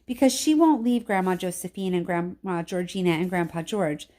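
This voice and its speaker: A woman voicing an earnest, excitable little boy in a storybook, speaking in breathless exclamations. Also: lowish voice, moderate pace, natural voice. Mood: worried.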